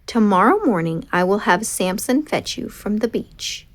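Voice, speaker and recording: A woman's voice: medium pitch, animated, normal speed, natural voice. A woman voicing a huge, worldly, kindly male eagle with a formal, authoritative manner in a storybook. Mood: assured, kindly.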